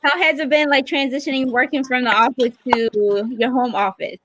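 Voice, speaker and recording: A woman hosting a live online stream, talking informally and haltingly as she searches for words. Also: sits high, fairly even intonation, fairly bright tone, slight background noise, low-quality recording. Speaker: livestream host. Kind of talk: unscripted live hosting, casual chat. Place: remote video call.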